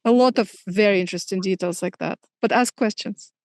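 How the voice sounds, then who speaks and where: A middle-aged woman from Moscow with a Russian accent, a native Russian speaker, answering interview questions thoughtfully with hesitant pauses. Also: mid-range voice, no echo, clean studio sound. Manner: thoughtful, many fillers and restarts. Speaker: filmmaker guest.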